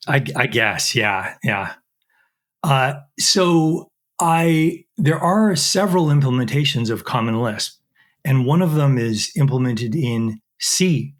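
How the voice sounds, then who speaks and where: A man's voice: mid-range voice, animated, takes it slowly. A middle-aged man, a chemistry professor, explaining technical work in an interview with frequent fillers and restarts.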